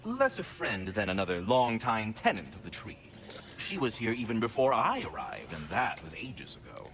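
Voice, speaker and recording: An actor voicing a fretful, courteous old talking squirrel in a game, fussy and formal. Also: male voice, lowish voice, wildly swooping pitch, moderate pace, creaky, fairly bright tone, full character voice. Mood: fretful, mournful. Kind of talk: animated video game acting.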